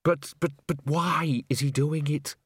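Man playing a fretful, wailing theatre owner, higher-pitched. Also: sing-song, a little slow, slightly rough, no room sound, studio quality, exaggerated voice. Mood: agitated, bewildered. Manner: wailing, stammering, exasperated. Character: fretful, indignant male theatre owner, easily horrified.

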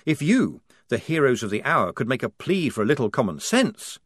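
A man's voice: medium pitch, big pitch swings, moderate pace, husky, dry recording, clean studio sound. A man voicing a nervous, eager male newspaper editor who talks in a polite, chatty, persuasive way. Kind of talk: audiobook character voice.

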